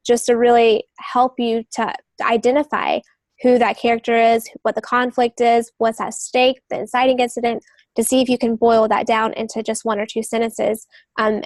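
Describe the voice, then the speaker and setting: A woman's voice: fairly high pitch, dry recording. A woman giving advice in a casual podcast chat, talking informally in loosely strung thoughts. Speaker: literary agent and novelist giving advice.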